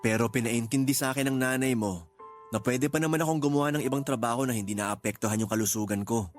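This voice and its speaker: A male actor as an aging working father talks in a medium-pitched voice for radio drama. He is gentle, supportive and a little teasing. Light music plays underneath. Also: quick pace, natural voice. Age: middle-aged. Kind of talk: Tagalog radio drama acting.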